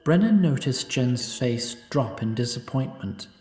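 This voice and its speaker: A man's voice: mid-range voice, lively intonation, unhurried, a little mellow, natural voice. A man voicing a snarky, timeless male sprite who narrates an audio drama with opinionated asides. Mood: wry. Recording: roomy echo.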